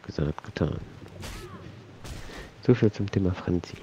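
A man's voice: deep voice, slow pace, dull audio, background noise. A man with a cold, casually rambling and muttering to himself while commenting on his gameplay. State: has a cold. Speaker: let's play host.

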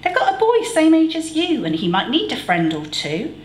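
Lady doing a brisk bossy mum, high and sing-song, roomy echo. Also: huge pitch swings, a little hiss or hum.

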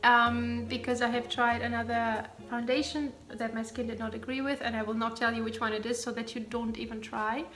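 A woman's voice: a little high, little pitch movement, a little fast, hardly any pauses, fairly bright tone, faint music behind. A woman in her thirties, Ukrainian-born with Russian as her first language, speaking English with a light British tinge, chatting through her skincare routine with lots of ums and asides. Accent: light British-tinged English over a Russian and German background. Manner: chatty, rambling, frequent ums and self-corrections. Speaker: beauty vlogger. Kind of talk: chatty get-ready-with-me monologue. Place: bedroom at home, indoors.

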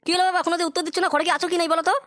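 A man's voice: very high voice, level tone, racing, sharp tone, no echo. A man voicing an idle, wheedling, foul-mouthed grown son in a crude comedy cartoon. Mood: concerned, wheedling. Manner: whining, foul-mouthed, grumbling.

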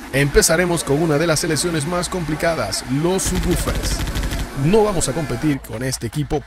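A man reading a scripted rundown of subwoofer specifications and prices for a car audio channel. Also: mid-range voice. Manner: reads spec lists and prices in an even explaining tone. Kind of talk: scripted product narration. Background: background music.

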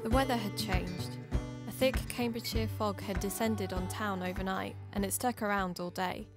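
A woman's voice: medium pitch, fairly even intonation, normal speed, over music, lightly acted. A woman playing an uneasy investigator, narrating her story in scripted first person, confidingly. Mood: uneasy, reflective.

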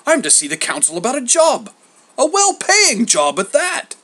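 A man voicing a cranky old male poet in a storybook, with a blustering, gruff, grumbling way of talking. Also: medium pitch, lively intonation, normal speed, a bit bright. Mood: blustering, indignant.

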